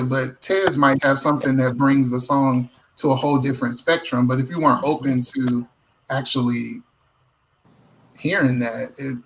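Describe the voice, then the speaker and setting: A man giving advice as a guest in a casual chat, in a measured, plain-spoken way. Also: mid-range voice, fairly bright tone, poor audio. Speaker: songwriter and producer, panel guest.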